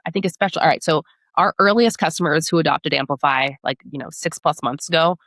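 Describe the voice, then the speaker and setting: A woman's voice: deep voice, fast talker, fairly bright tone, no echo, clean studio sound. A woman speaking as a podcast guest in a conversational interview, explaining things and often checking in with a tag question. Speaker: podcast guest, product marketing director.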